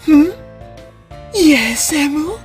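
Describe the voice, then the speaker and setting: Casting: woman as polite young male anime show director, high-voiced, unhurried, deliberate and quietly scheming. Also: expressive, soft background music, heavily acted. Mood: calm, planning. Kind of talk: anime fan dub acting.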